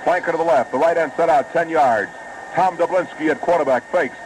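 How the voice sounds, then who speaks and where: A man calling a football game play by play on live radio, brisk and rising with the action, on a noisy old broadcast recording. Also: on the high side. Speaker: play-by-play announcer.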